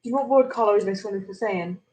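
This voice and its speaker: A woman's voice: medium pitch, very fast, a little mellow, echoey room, poor audio. A young woman with a slight lisp, chatting playfully with family. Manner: giggly, playful, self-mocking. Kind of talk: casual family chat.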